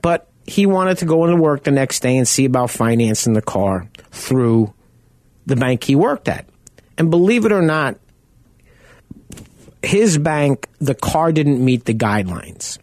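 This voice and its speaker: A man with a loud voice hosts a radio talk show. He chats casually and rambles through personal stories in a middle pitch. The sound is dry and close, as if he is right at the studio mic. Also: very animated, a little slow, no echo. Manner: conversational, rambling, anecdotal.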